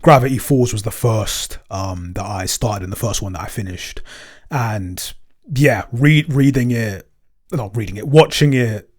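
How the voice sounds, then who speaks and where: A man's voice: on the low side, a little slow. A man co-hosting a podcast in casual chat, talking freely with many "um" and "you know" fillers. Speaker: podcast co-host and editor.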